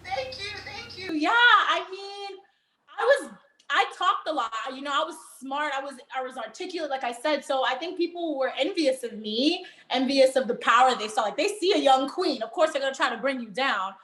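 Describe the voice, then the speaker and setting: A woman's voice: high voice, moderate pace, fairly bright tone, reverberant. A young woman from Haiti raised in Miami, talking animatedly and laughing often as an interview guest. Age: young adult. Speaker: guest, performer. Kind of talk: casual interview.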